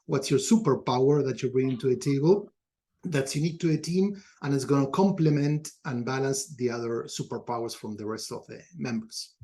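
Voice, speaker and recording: A man's voice: mid-range voice, brisk, warm tone. A middle-aged man from Argentina with an Argentine Spanish accent, answering reflectively as a podcast guest in a conversational way. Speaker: podcast guest, culture consultant.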